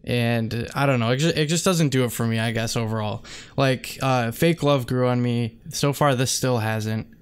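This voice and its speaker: A man's voice: mid-range voice, moderate pace, few pauses, clean tone. A man co-hosting a music review podcast, giving opinions in a hesitant, hedging casual chat. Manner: hesitant, hedging, many fillers. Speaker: podcast co-host.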